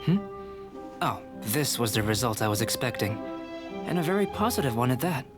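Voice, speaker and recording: A man voicing a composed young alchemist in a video game, speaking in a measured, lecturing way. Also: mid-range voice, sing-song, natural voice. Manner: measured, lecturing, coolly confident. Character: calm young male alchemist scholar, composed and aloof. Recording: studio game voice with music behind. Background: game music.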